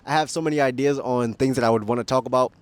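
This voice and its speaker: A man's voice: medium pitch, fairly even intonation, quick pace, no room sound. A man in his twenties, from Kenya, talking casually and candidly to camera outdoors, musing and laughing at himself. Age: 20s. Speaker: vlogger. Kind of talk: casual reflective monologue. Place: outdoors at dusk.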